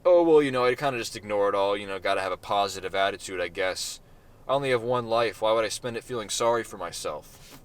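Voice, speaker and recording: A man's voice: fairly low pitch, fast, clean tone, close to an everyday voice. A man doing a laid-back hated man. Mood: easygoing. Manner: hesitant, many fillers. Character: infamous, easygoing man shrugging off hate.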